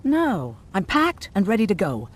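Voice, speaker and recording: An actor playing a blunt, sardonic, weary survivor of a machine war in a video game. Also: male voice, high-pitched, wildly swooping pitch, unhurried, heavily acted. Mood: irritated.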